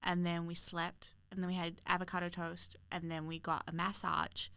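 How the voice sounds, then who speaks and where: A woman's voice: lowish voice, flat delivery, moderate pace, gravelly, a bit bright, clear, resonant tone, no echo. A young woman from New Zealand with a New Zealand accent, co-hosting a podcast, chatting casually and agreeing often with lots of yeahs. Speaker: podcast co-host. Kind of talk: casual chat.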